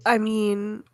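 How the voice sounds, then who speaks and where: Female roleplaying gamer, average pitch, informal table talk. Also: dark tone, no room sound, studio quality. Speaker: player in a tabletop roleplaying game. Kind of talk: casual table chat.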